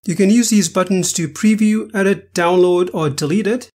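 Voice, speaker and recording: A man presenting a software tutorial, narrating each step in an instructional way. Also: high voice, moderate pace. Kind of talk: scripted screen-capture tutorial narration.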